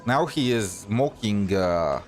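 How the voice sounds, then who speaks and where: A man giving casual gameplay commentary as a streamer, thinking aloud in a hesitant, halting way. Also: fairly low pitch, expressive. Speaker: game streamer. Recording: loud game music underneath.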